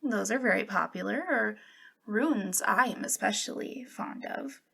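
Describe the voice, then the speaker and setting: Slow-paced, friendly young witch shopkeeper, played by a woman. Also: mid-range voice, vocal fry, fairly bright tone, husky, full character voice. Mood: chatty, awkward. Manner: hesitant, halting, giggly. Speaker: ASMR roleplay voice actor. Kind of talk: ASMR roleplay, softly spoken.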